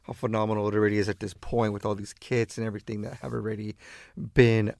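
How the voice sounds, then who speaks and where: A man's voice: on the low side, level tone, fast, gravelly, a little mellow, dry recording, studio quality. A man from East Los Angeles casually hosting a radio show between songs.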